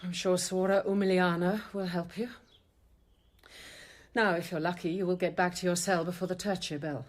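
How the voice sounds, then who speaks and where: A woman's voice: lowish voice, fairly even intonation, moderate pace, close to an everyday voice. A woman playing a guarded, learned convent apothecary nun in a radio drama, with precise, formal delivery. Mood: stern, guarded. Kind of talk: radio drama acting and narration.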